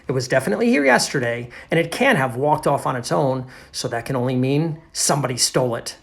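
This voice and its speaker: A man's voice: mid-range voice, expressive, fast, creaky. A man voicing a flustered, indignant storybook narrator in an exclamatory, emphatic way. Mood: alarmed. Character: flustered, indignant book narrator alarmed by a mystery.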